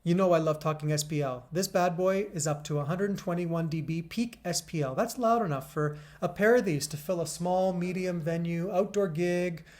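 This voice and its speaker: A man with a deep, bassy voice hosting a casual gear review, chatty and upbeat as he walks through features step by step. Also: moderate pace, hardly any pauses, a little mellow. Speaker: gear reviewer and channel host.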